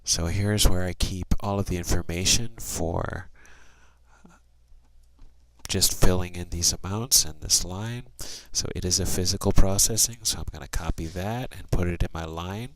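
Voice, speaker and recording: Man, low voice, software trainer, plain procedural delivery, step-by-step screen tutorial. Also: moderate pace, no echo, poor audio.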